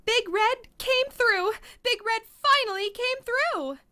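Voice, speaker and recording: A woman playing an excitable niece in her early twenties, gushing and exclamatory, in a comic radio play. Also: extremely high pitch, slow, exaggerated voice. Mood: ecstatic.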